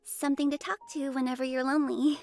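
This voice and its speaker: A sweet small girl in a visual novel. The voice is very high, soft and earnest, with light music behind it. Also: little pitch movement, fast talker. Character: sweet, innocent, affectionate little orphan girl.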